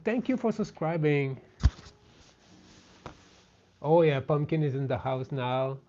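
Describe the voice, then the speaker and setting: A man with a French Canadian accent, a native French speaker, chatting gently and casually as he hosts a live craft stream. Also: fairly high pitch, lively intonation, slow pace, slight background noise. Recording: dull, lo-fi sound.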